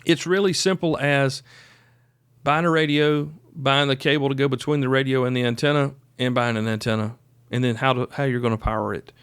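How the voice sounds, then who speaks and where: Guy doing a how-to podcast, folksy and plainspoken, average pitched voice, real dry recording. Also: fairly even intonation, a little fast, fairly bright tone, clear, resonant tone, no room sound. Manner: folksy, plainspoken, conversational asides. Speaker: podcast host. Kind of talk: casual instructional podcast talk.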